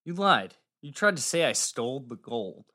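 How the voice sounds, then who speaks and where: A woman plays a bold teenage squire boy in a storybook. The voice is dropped very low and swings widely in pitch, earnest and challenging. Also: sing-song, moderate pace, pushed and bright, full character voice. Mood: accusing. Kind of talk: storybook character voice.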